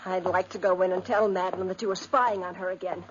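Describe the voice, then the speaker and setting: An actress playing a defiant, scornful woman, sharp and accusing in 1950s radio drama style. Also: lowish voice, steady tone, quick pace, close to an everyday voice. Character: defiant, scornful woman confronting her former lover. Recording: muffled, noisy 1950s radio recording.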